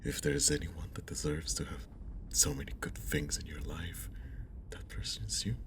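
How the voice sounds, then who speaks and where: A man playing a friendly, caring young old school friend in an ASMR roleplay, speaking softly and hesitantly with a breathy half-whisper, close to the mic. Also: rumbling low, flat delivery, moderate pace, a little hiss or hum. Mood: gentle, reassuring. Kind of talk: ASMR roleplay, intimate one-sided conversation.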